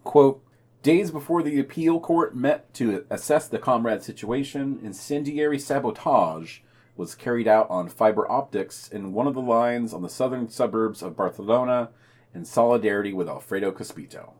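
A man's voice: medium pitch, darkish tone. A man co-hosting a podcast, reading scripted news items and bantering casually. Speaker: podcast co-host and news reader.

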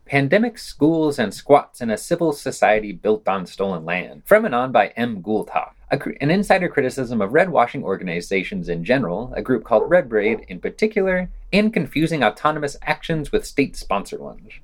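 A man's voice: medium pitch, very animated, a little fast, hardly any pauses. A man reading scripted podcast commentary as a host, with a sardonic, dismissive manner. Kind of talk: scripted review commentary, read aloud.

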